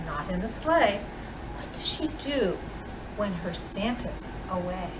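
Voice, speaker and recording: A woman reading a children's picture book aloud in an expressive, deliberate storytelling manner with dramatic pauses. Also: mid-range voice. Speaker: storytime reader playing Mrs. Claus. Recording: roomy, distant microphone with clear background noise.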